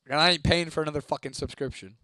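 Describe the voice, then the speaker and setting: A young man from Ohio, chatting casually on a live stream, laid-back and swearing freely, his voice a little hoarse. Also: on the high side, fairly bright tone, no room sound, studio quality. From Toledo, Ohio, United States. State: voice getting hoarse. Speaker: livestreamer. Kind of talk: casual live-stream chat reading comments.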